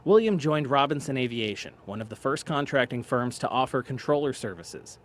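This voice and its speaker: A man's voice: mid-range voice, animated, fairly quick, a little hiss or hum. A man narrating a scripted television news report.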